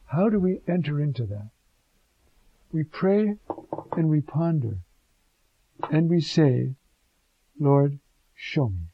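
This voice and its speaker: A man's voice: a little high, husky, no room sound, highs cut off. A man preaching on scripture, talking conversationally and rising to fervent emphasis. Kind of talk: sermon on scripture.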